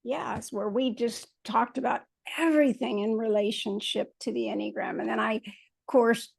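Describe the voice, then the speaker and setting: A woman's voice: fairly high pitch, fairly even intonation, normal speed, vocal fry, breathy edge, no room sound. A woman hosting a casual interview, affirming and laughing along with her guest. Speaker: interviewer, host.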